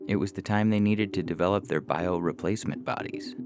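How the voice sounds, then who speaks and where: A man's voice: low-pitched, fairly quick, over music. A man reading science fiction stories aloud as scripted narration for a podcast.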